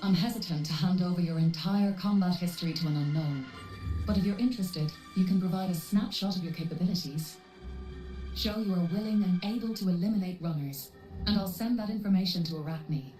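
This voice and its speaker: A woman playing a composed female AI handler in a video game, speaking in a measured, formal and clinical way. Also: lowish voice, dark tone, echoey room, far from the mic, soft background music, natural voice, rough recording. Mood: measured briefing. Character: composed female AI handler briefing mercenary runners. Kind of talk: scripted video game cutscene dialogue.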